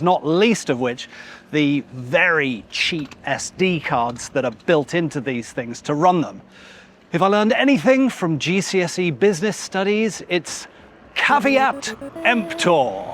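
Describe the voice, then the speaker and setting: A man's voice: on the high side, a bit bright, slight background noise. A British man narrating a scripted but conversational video essay as its host, with wry, playful, self-deprecating asides. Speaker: YouTube host.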